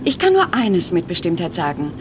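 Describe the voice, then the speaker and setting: An actress playing a self-assured, slightly vain crime novelist in a comic radio play, with an arch, dry and composed manner. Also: female voice, fairly low pitch, wildly swooping pitch, fairly quick, noisy background. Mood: brisk, dry.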